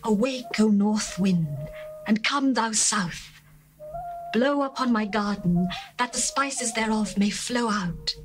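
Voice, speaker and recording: An actress playing a longing young bride, reading scripture in an earnest, heartfelt way over faint music. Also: female voice, mid-range voice, lively intonation, takes it slowly, low-quality recording.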